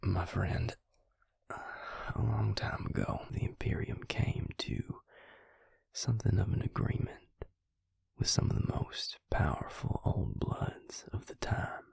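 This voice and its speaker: A man playing a devious, smug male vampire in an intimate audio roleplay, taunting his victim slowly in a breathy, gravelly whisper. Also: low voice, a little mellow, no room sound, exaggerated voice. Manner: slow, taunting, self-satisfied.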